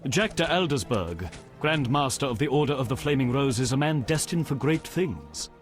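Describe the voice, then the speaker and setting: An actor playing an earnest, idealistic young knight in a video game, formal and eager. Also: male voice, medium pitch, big pitch swings, moderate pace, faint music behind, close to an everyday voice. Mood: proud, eager. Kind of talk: video game dialogue acting.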